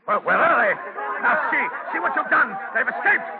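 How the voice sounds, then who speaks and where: An actor in a 1940s radio drama playing a brutal slave trader, scornful and barking orders. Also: male voice, very high voice, animated, normal speed, narrow, tinny audio. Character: brutal, sneering slave trader or rough sailor. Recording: thin old radio broadcast, crowd clamor.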